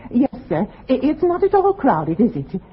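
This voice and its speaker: A woman's voice: high voice, wildly swooping pitch, moderate pace. An actress playing an eager, deferential landlady, nervous and anxious to please, in 1940s radio drama style. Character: eager, deferential, flustered working-class landlady wife. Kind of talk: 1940s radio suspense acting. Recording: muffled, noisy 1940s radio recording.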